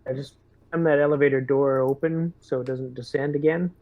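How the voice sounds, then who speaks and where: A man playing a tabletop game, giving military-style orders in a halting but commanding way. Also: medium pitch, steady tone. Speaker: tabletop game player speaking in character. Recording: dull lo-fi microphone.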